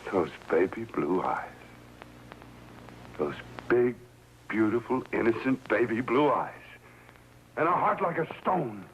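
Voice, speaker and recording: An actor playing an earnest employee and lovesick secret lover in a 1950s crime drama, speaking in a halting way. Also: male voice, mid-range voice, lively intonation, a bit bright, highs cut off. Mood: bitter, insistent. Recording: noisy old 1950s TV drama soundtrack.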